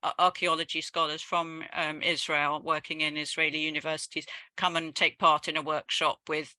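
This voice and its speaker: A woman's voice: deep voice, flat delivery, normal speed, sharp tone, dry recording. A woman hosting an online lecture and moderating the questions through a headset, speaking politely and formally. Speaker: host of the society's lecture. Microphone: headset on a video call.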